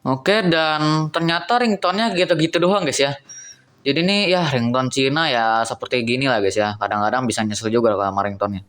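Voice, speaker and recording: A man's voice: mid-range voice, fairly quick, a bit bright, faint music behind. A young man, casually reviewing a cheap phone on camera, thinking aloud and talking to viewers as guys.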